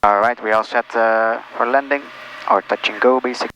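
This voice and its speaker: Bright-voiced man narrating from a noisy cockpit. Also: mid-range voice, fairly even intonation, brisk. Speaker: pilot narrating his own flight. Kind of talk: casual cockpit narration and radio calls. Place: small aircraft cockpit in flight. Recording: noisy cockpit with engine hum.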